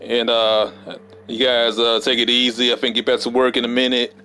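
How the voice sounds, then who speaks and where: A man records a casual vlog during downtime at work, his voice hoarse from a cold. He stops and restarts often, leaning on fillers as he thinks aloud. Underneath, the tone stays smooth and resonant at an ordinary pitch. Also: monotone, pushed and bright, soft background music. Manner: halting, many fillers and restarts. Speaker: vlogger. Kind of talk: casual rambling monologue.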